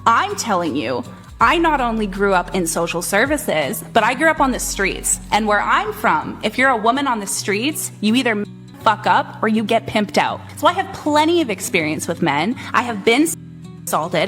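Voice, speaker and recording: A woman's voice: on the high side, big pitch swings, normal speed, a bit bright, soft background music. A woman arguing as a debate guest, blunt and confrontational, swearing freely.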